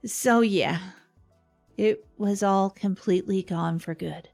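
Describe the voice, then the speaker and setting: A woman's voice: medium pitch, slow pace, gravelly, a little mellow, faint music behind. A woman playing a self-deprecating radio host who rambles wryly through a scripted comedic confession. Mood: exasperated, wry. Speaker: fictional radio host and narrator.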